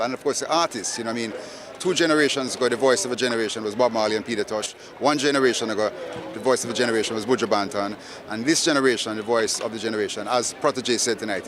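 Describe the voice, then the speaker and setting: Fast-talking enthusiastic man in a crowd. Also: medium pitch. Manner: enthusiastic, full of superlatives. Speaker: festival attendee giving comment. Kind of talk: interview reaction. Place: outdoors at a festival. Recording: outdoor crowd noise behind.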